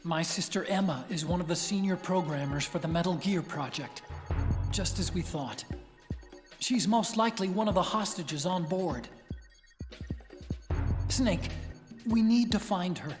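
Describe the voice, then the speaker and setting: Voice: mid-range voice, lively intonation, measured pace, long pauses, natural voice. An actor playing an earnest, worried young male tech expert in a video game drama, explaining things carefully. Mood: worried, urgent. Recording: long reverb, music underneath. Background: clear music.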